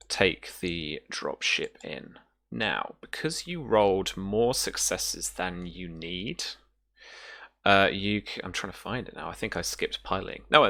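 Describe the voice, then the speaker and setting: A man's voice: low voice, gravelly, fairly bright tone, no echo, clean studio sound. A man casually narrating and explaining rules as a game master, feeling his way through explanations piece by piece.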